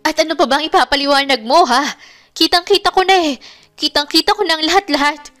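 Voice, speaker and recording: Female narrator as jilted young lover in romance melodrama; high, angry, tearful, accusing, music underneath. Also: brisk. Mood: tearful, wounded. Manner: shouting accusations in a tearful, wounded way. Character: young jilted woman, furious and heartbroken. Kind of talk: melodramatic romance story acting.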